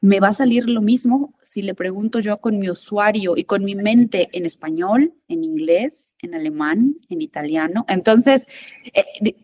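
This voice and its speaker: A woman's voice: mid-range voice, measured pace, a little mellow. A woman speaking as a guest expert in a conversational interview, emphatic and often repeating words as she searches for them.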